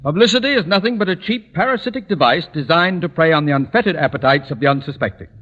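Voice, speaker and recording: A blustering, high-pitched male school principal, pompous and oratorical. It is vintage radio comedy with muffled broadcast sound. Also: expressive, fast. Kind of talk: 1940s radio comedy acting.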